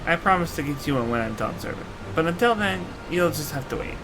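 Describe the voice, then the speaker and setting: A man's voice: fairly high pitch, lively intonation, fast talker, rough recording. A man voicing a proud, eager young princess from a fantasy game, explaining her homeland earnestly. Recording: game music under the voice.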